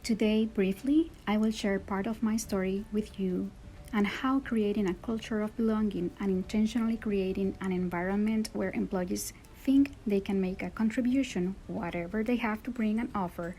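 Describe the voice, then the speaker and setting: Earnest Puerto Rican woman, mellow voice. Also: mid-range voice, normal speed, faint music behind, rough recording. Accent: Puerto Rican Spanish. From Puerto Rico. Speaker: speaker. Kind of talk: personal story talk.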